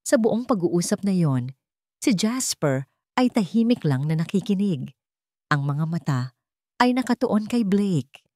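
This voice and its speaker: Female narrator for a dramatic story: low-set voice, very lively intonation. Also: measured pace, long pauses, no echo, clean studio sound.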